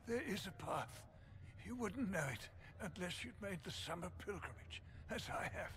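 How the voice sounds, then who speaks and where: An actor playing a dying, devout chancellor in a video game, speaking in a weak, breathless, halting and reverent way. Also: male voice, sits high, animated, fairly bright tone, slight background noise. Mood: pained wonder. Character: dying male chancellor cleric, frail, earnest, devout. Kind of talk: dramatic video game acting.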